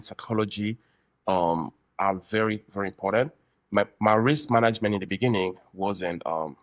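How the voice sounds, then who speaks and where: Low-voiced man hosting, halting delivery. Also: no room sound. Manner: halting, deliberate phrasing. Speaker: podcast host, trader. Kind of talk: casual interview chat.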